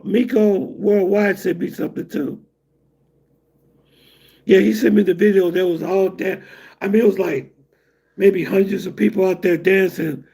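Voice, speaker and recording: A man's voice: sits high, gravelly, dark tone, slightly rough. A middle-aged man from the United States hosting a casual livestream and rambling loosely to his viewers. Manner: loose, rambling, laughs at his own jokes. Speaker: livestream host.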